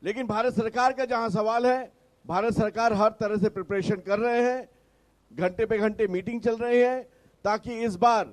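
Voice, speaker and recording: A man speaking as a ruling party spokesman in a political TV debate, combative and repeating his points. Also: high-pitched. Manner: combative, repetitive, interjecting.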